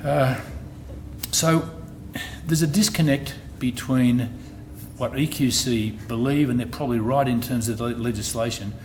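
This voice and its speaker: A middle-aged Australian man addresses a meeting in a measured way, often stopping to restart a phrase. His pitch sits in the middle range. The recording carries a clear layer of background noise. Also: a little mellow. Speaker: insurance company executive. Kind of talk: presentation at a public meeting. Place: meeting hall. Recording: noisy meeting room recording.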